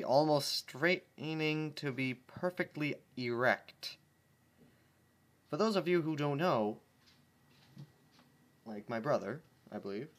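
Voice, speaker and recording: A man reading fan fiction aloud in a casual, wry way, tripping over words and breaking off into joking asides. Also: mid-range voice. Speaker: fanfiction reader. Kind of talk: casual dramatic reading with commentary.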